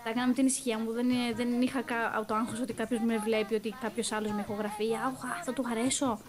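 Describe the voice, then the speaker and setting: A young woman chats casually in an interview as a voice actor, telling things in an animated, slangy way with English words dropped in. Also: a little high. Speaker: voice actor and dubbing singer being interviewed. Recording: voice with music playing clearly behind. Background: music.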